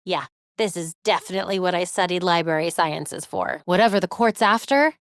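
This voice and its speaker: A woman's voice: fairly low pitch, a little fast, no echo, clean studio sound, lightly acted. An American woman voicing a young, brainy, determined vigilante heroine in a video game, with a wry, earnest delivery. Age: young adult. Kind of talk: video game character voice lines.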